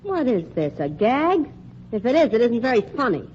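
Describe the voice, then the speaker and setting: An actress playing a streetwise young nightclub singer in a 1940s radio drama, brassy and slangy. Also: female voice, on the high side, big pitch swings, moderate pace, a little mellow. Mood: defensive. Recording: muffled 1940s radio broadcast with faint hiss.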